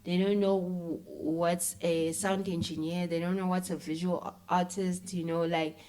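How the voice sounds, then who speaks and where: South African woman, lowish voice, conversational interview guest, animated storyteller who slips between languages. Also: normal speed, low-quality recording.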